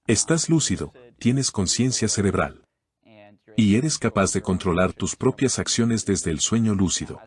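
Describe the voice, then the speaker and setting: A man from Canada speaks as an interview guest, his voice sitting very low. He lays out his answers in a calm, methodical way, defining terms step by step. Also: a little slow, husky.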